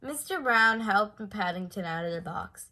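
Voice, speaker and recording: Animated, bright-voiced woman reading a children's story. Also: mid-range voice, moderate pace. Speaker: storybook reader. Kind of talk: children's story read aloud.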